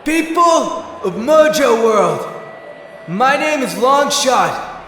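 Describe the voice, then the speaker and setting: Earnest rebel hero making a big speech, super echoey, noisy sound behind. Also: male voice, extremely high pitch, animated, takes it slowly, a bit bright, heavily acted. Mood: solemn defiance. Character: earnest heroic male rebel, former slave rallying the people. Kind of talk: impassioned speech in an audio drama. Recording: heavily echoing broadcast with noise.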